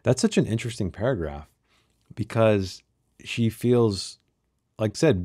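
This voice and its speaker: Man, low-pitched, soft-edged tone, dry close sound, book club host, reading aloud with casual asides. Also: no room sound. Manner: musing, breaks off with rhetorical questions.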